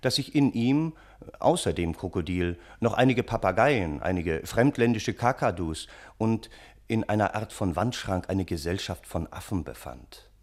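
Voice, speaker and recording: An actor playing a wry family friend who narrates the story in a radio play. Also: male voice, low-pitched, lively intonation, measured pace. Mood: wry, descriptive.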